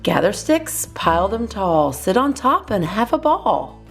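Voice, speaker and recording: A woman's voice: medium pitch, big pitch swings, a little slow, gravelly, soft background music. A woman voicing a playful, silly young boy owl in a children's storybook, eager and sing-song.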